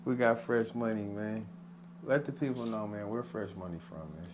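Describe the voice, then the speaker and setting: A man's voice: fairly low pitch, moderate pace, a little hiss or hum. Laid-back male podcast host. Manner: laid-back, repeats "man" and "come on". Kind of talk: casual interview hosting.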